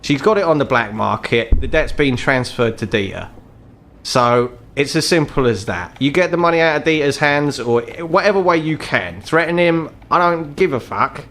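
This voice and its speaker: A man's voice: a little high, animated, moderate pace, a bit bright. Man playing an embittered, sweary British troll. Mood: blunt, conspiratorial. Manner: blunt, sweary, world-weary. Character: embittered ageing crippled troll, gruff underworld fixer, male.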